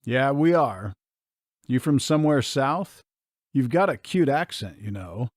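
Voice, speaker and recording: A man's voice: mid-range voice, lively intonation, a little slow, dry recording, studio quality. A man reading as a playful small-town charmer. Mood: flirting. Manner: teasing, easygoing.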